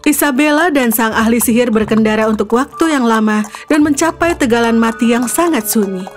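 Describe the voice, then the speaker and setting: A woman's voice: a little high, brisk. A woman reading a scripted children's fairy tale as the storyteller, with music behind her. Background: background music.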